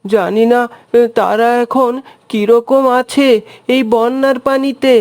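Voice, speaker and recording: Woman as high-voiced cartoon schoolboy. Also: slow pace, a little mellow. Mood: sympathetic. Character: good-hearted schoolboy friend in cartoon. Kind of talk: Bengali cartoon dubbing.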